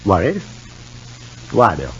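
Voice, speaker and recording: A man's voice: sits low, wildly swooping pitch, takes it slowly, fairly bright tone, heavily acted. An actor playing a cool, condescending husband and father in a 1950s radio soap, formal and clipped. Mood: cool reserve. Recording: muffled, noisy old radio recording.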